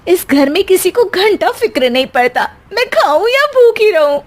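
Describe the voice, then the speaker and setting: A woman's voice: very high voice, sing-song, normal speed, pitched above the performer's own voice. A woman voicing a feisty, grumbling young Indian daughter-in-law in a cartoon, exclaiming and complaining with indignant drama.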